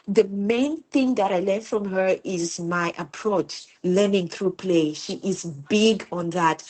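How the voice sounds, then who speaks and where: A woman's voice: fairly low pitch, rough recording. A woman from Zimbabwe, a homeschooling mother, talking in a casual interview and telling her stories earnestly and with feeling. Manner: earnest, animated storytelling with repeated words.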